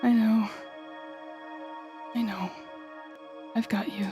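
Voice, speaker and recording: A woman playing an intense, coaxing female telepathic villain with a secretly tender side in an intimate audio roleplay, speaking softly and often whispering with deliberate dramatic pauses. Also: a little high, fairly even intonation. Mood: tender, comforting. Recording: background music under the voice.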